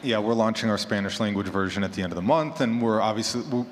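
A man with a lowish voice speaks as a panelist at a live event. He is opinionated and repeats himself for emphasis. His voice carries through a reverberant hall with light background noise. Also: fast talker. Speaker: panelist, horror fiction podcaster. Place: large conference room with audience.

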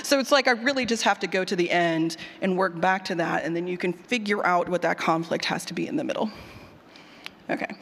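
A woman speaks to a conference audience in a room with long, ringing reverb. Her delivery is informal and animated, with punchy emphasis and slangy phrasing. She sounds like a workshop leader who likes to put questions straight to the room. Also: lowish voice, fairly quick. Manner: animated, colloquial, emphatic, mildly sweary, puts questions to the room. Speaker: speaker leading a workshop on audio drama writing.

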